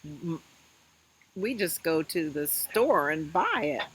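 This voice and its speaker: A woman hosting a children's storytime, reading aloud in an expressive, sing-song way and talking directly to young listeners. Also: low voice, slow, breathy edge, low-quality recording. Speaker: children's librarian, storytime host.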